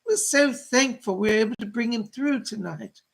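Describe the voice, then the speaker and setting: A man voicing a gentle, motherly female spirit guide in a trance séance, effusive and soothing, with genteel endearments and a husky, creaky voice. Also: very high-pitched, normal speed, exaggerated voice. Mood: apologetic, reassuring.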